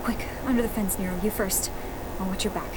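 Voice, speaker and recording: A woman voicing a determined young rebel leader who gives steady orders. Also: medium pitch, brisk, very noisy, close to an everyday voice. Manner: steady, directing, urgent in action.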